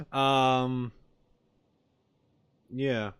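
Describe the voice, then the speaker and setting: A man doing casual live reaction commentary as a game streamer, musing and haltingly thinking aloud. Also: medium pitch, clean tone, no room sound. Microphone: close streaming mic.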